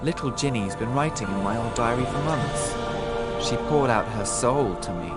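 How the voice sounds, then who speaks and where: A man playing an arrogant, menacing teenage dark wizard in a video game, taunting and grandiose. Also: mid-range voice, lively intonation, normal speed, over loud music, close to an everyday voice. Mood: sinister.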